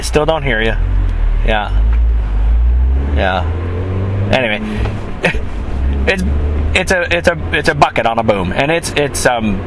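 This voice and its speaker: A man with a stuffy, sniffly voice chatting casually to his viewers in a rambling, self-correcting way. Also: medium pitch. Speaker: film crew member. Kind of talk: casual vlog monologue. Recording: traffic noise outdoors.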